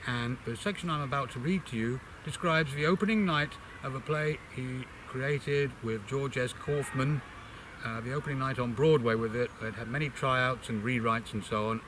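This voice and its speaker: An older man reads aloud in an expressive literary way, with measured, dramatic phrasing. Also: mid-range voice, background noise. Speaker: amateur reader introducing and reading a book extract.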